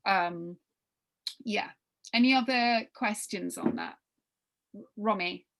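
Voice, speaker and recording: A woman's voice: mid-range voice, takes it slowly, noisy background. A woman leading an informal briefing for writers, emphatic and repeating her phrases as she thinks aloud. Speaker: workshop leader briefing young writers. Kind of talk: informal spoken briefing with question and answer.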